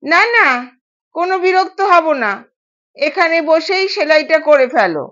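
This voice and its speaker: Strict older household matriarch, played by a female storyteller, curt and commanding, very high voice, lo-fi audio. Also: expressive, measured pace, a bit bright, full character voice. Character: strict, bossy older mother-in-law of the household. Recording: dull, lo-fi sound.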